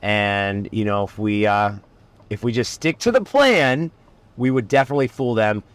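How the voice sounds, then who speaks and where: A man from Ohio, a magician guest chatting casually in a car, relaxed and teasing. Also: fairly low pitch, moderate pace, no room sound. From Cleveland, Ohio. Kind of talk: casual chat.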